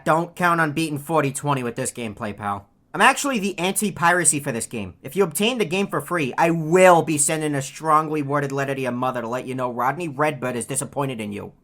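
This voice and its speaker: A woman voicing a lazy, greedy bird who heckles in a deadpan, sarcastic way as a video game character. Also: sits low, exaggerated voice.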